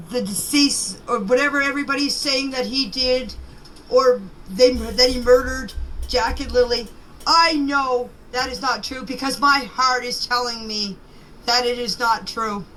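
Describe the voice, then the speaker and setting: A mature woman with a high voice tells her side in an informal, halting way. She is plain-spoken and insistent, taking her time over the words. The amateur voice clip carries faint background noise. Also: bright, forceful tone, low-quality recording. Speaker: private person giving her account in voice clips. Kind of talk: informal spoken personal account.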